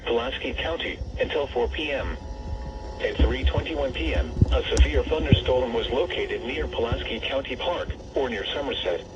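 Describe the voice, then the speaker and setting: A man with a formal, measured, synthetic-sounding delivery reads a scripted emergency weather bulletin over weather radio. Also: mid-range voice. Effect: weather radio broadcast. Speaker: weather radio warning announcer. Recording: muffled, distant, noisy weather radio capture.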